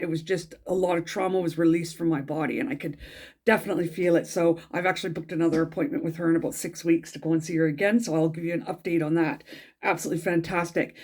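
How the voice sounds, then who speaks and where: A middle-aged Englishwoman, a tarot reader, chatting in a casual vlog with a croaky throat from a cold, rambling and gushing with many ums and restarts. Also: on the low side. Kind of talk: casual weekly vlog chat.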